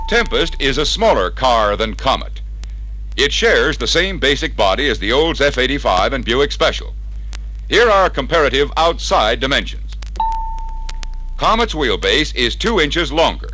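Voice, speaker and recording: Bright, animated American announcer man, vintage record. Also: mid-range voice, a little hiss or hum, rough recording. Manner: persuasive, emphatic announcer delivery. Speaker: sales training film narrator. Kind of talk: scripted 1960s sales narration. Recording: vintage 1961 vinyl record sound.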